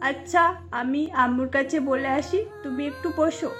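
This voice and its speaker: A woman's voice: high voice, steady tone, normal speed, over music, lightly acted. A woman voicing a cheeky, clever five-year-old girl in an audio story, playful and childlike. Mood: eager.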